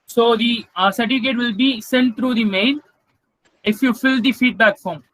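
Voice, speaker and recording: A man's voice: very high-pitched, normal speed, a bit bright, dry recording, rough recording. Polite young indian guy running the webinar q&a, lots of "sir", dry close sound. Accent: Indian English. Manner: polite, says "sir" often, stumbles on words. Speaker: student event coordinator. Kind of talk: hosting a webinar Q&A. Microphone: video call.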